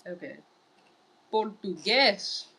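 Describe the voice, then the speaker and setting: A woman's voice: medium pitch, lively intonation, slow, bright, forceful tone, breathy edge. A woman streaming live in casual chat, reading viewer messages aloud and answering them. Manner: wearing large headphones. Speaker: livestreamer.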